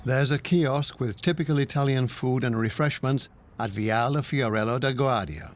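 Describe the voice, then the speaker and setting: A man narrating a travel documentary in a calm, measured scripted voice-over. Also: mid-range voice. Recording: voice-over with music behind. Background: music.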